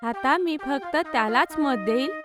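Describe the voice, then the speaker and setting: A woman's voice: high voice, expressive, a little slow, over music. A woman playing an earnest young schoolgirl in a children's cartoon moral story. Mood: apologetic, sincere. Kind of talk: Marathi children's cartoon dialogue.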